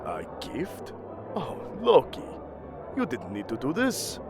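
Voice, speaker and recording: A man's voice: medium pitch, wildly swooping pitch, slow, over music. A man playing a proud, commanding king of the gods in an audio drama, with a regal, formal and imperious manner. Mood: measured, gracious.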